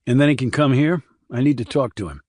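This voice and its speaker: Man playing an army investigator, composed, matter-of-fact, mid-pitched, businesslike, crime audiobook, dry close sound. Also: fast, darkish tone, no echo, lightly acted. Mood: calm, businesslike.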